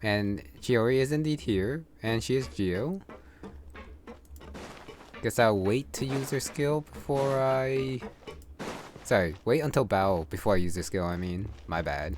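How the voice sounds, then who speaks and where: A man's voice: mid-range voice, expressive, unhurried, slight background noise. A man giving casual, unscripted gameplay commentary, musing aloud to himself as he plays. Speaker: gamer, streamer. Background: game music.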